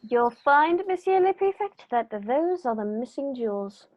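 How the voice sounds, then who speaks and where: A woman playing a composed, courteous male detective in a 1940s-style radio play, speaking in a measured, formal way. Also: high-pitched, expressive. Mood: composed, assertive. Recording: dull, noisy lo-fi home recording.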